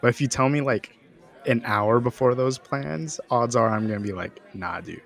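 A man's voice: medium pitch, normal speed, vocal fry. A young man chatting easily as a podcast co-host. He is laid-back, swears freely and laughs a lot. A faint crowd murmur sits in the background. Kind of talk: casual chat.

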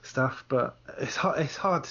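A man's voice: mid-range voice, takes it slowly, bright, forceful tone, dull audio. A man chatting casually on a podcast, searching for his words as he goes. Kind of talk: casual chat.

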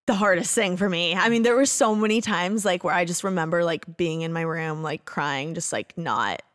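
A young woman from Indiana in her early twenties, chatting as a podcast guest. Her voice sits a little low, and she leans on "like" and stops to restart her sentences. The tone is loose and conversational. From Indiana, USA. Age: 20s. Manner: chatty, says "like" constantly, quick restarts. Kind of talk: casual conversation.

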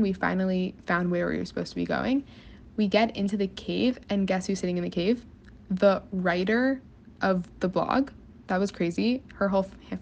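A young woman telling an upbeat, excited anecdote. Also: medium pitch, vocal fry, a little hiss or hum. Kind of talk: casual anecdote.

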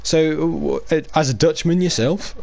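A man's voice: on the high side, unhurried, gravelly, a crowd in the background. A man hosting a casual sports podcast, chatty and hesitant with lots of fillers and restarts. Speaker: podcast host. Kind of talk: casual chat.

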